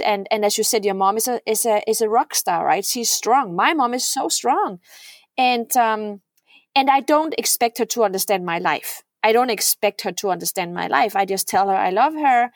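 A woman's voice: on the high side, no room sound. Earnest middle-aged woman, dry sound. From Denmark. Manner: earnest, repeats words and asks "right?". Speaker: podcast guest. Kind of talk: heartfelt podcast conversation.

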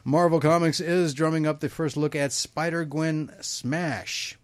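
A man hosts a live broadcast at a middle pitch, chatting loosely and laughing between bits of news. The recording is dry, with little room sound. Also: steady tone, measured pace, no echo. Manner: chatty, laughs often, many fillers. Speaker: host. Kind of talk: casual live broadcast chat.

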